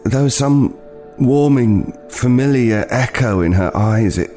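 A man's voice: medium pitch, unhurried, mellow, soft background music, lightly acted. An actor playing a haunted, homeless old man in an audio drama, stammering hesitantly as he tells a frightening tale. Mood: haunted, wistful. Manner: hesitant, stammering over words.

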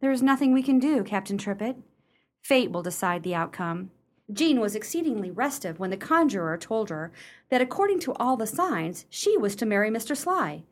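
A woman plays an arch, sceptical gentlewoman in a radio drama. Her mid-range voice is formal and genteel, delivering lines with dry wit. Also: medium pitch, sing-song, normal speed. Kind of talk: radio play acting.

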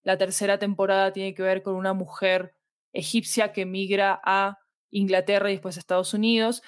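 A woman's voice: medium pitch, little pitch movement, normal speed, no echo. Woman explaining in a dry, close recording. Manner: explanatory, adds tag questions like "¿verdad?" and "¿sí?". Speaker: podcast fiction director being interviewed. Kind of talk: conversational interview answers.

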